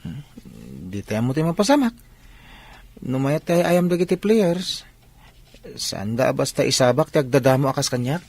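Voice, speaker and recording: A humble young man new to sport, voiced by an actor in a Filipino radio-style drama. His voice is rough and husky, his delivery hesitant and modest. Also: mid-range voice, expressive, normal speed, long pauses, darkish tone. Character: humble, nervous young male novice basketball player.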